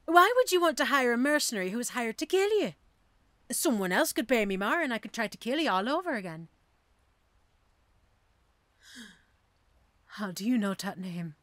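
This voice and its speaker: A woman's voice: on the high side, very animated, brisk, fairly bright tone, no echo. A woman playing a cocky, street-hardened female mercenary assassin in an audio roleplay, with a teasing, sardonic, theatrical manner. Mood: wary, guarded. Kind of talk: audio roleplay drama acting.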